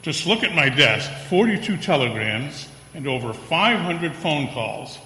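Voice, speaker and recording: A man playing a commanding department-store boss in a radio play, brisk and decisive. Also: on the high side, sing-song, bright, forceful tone, a little hiss or hum, heavily acted. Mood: brisk, emphatic. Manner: emphatic, decisive. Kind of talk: old-time radio play acting. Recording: echoey reverberant hall.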